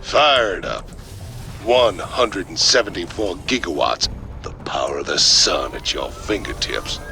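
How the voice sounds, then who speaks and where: Burly game outlaw, gravelly rasp, animated swagger, gruff, cocky drawl. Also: male voice, low-pitched, a little slow, soft background music, heavily acted. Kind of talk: video game voice acting.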